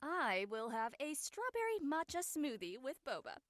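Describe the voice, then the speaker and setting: A woman acting a carefree, feisty high school girl in a dramatic read, breezy and blunt. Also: big pitch swings, normal speed, a crowd in the background. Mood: playful. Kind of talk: online dramatic table read.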